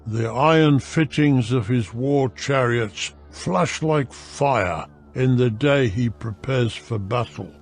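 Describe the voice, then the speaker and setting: A man narrating a dramatized audio Bible in a solemn, deliberate, declamatory manner. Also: medium pitch. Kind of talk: dramatized scripture reading. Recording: music behind the voice. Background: music.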